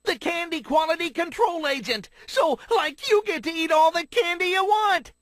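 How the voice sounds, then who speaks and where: An actor voicing a goofy, cowardly, gluttonous young slacker sleuth in a cartoon game, with slangy, excitable speech. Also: male voice, extremely high pitch, normal speed, no echo, studio quality. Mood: hungry excitement. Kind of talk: cartoon mystery game acting.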